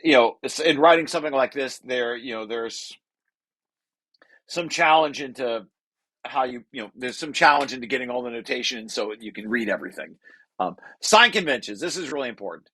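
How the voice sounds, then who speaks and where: A man's voice: mid-range voice, fairly bright tone, no room sound. A man reading through a technical paper draft like a lecture, explaining as he goes with informal asides and often breaking off to rephrase.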